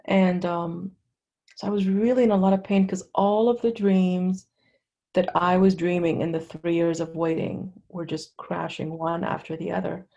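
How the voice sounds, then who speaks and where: Middle aged woman from lebanon telling her story, halting and candid, lots of vocal fry. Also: fairly low pitch, steady tone, warm tone, lo-fi sound. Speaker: interview guest. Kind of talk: personal testimony in casual conversation.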